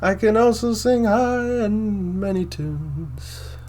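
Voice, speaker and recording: A man with a baritone voice hosting a casual philosophy monologue, rambling and repeating himself with many fillers. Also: animated, takes it slowly, darkish tone. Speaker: host.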